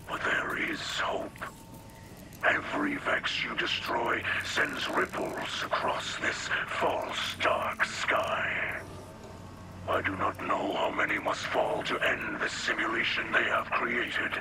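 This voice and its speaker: A man voicing a solemn, wise alien warrior-mystic in a game, with a breathy, guttural rasp and grave, formal delivery. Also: medium pitch. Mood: solemn hope. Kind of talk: scripted video game dialogue. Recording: clear ambient noise behind voice.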